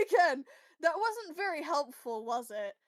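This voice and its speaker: A woman's voice: high-pitched, sing-song, slow pace, clean studio sound. A woman chatting casually and animatedly with friends as a player in a tabletop role-play game, swearing freely. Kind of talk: casual group chat about a game. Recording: roomy echo, music behind.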